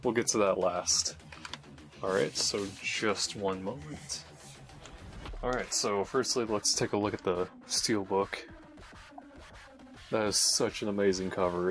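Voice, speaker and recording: A man giving casual unboxing commentary as host, muttering to himself as he handles things. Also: fairly low pitch. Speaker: host of a game unboxing video.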